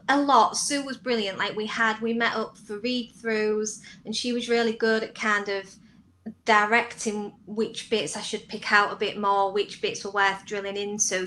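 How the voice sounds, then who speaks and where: A woman speaks as a guest in an interview, explaining her writing in a conversational way. Her voice sits at a middle pitch. There is faint background noise on the recording. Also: bright, forceful tone.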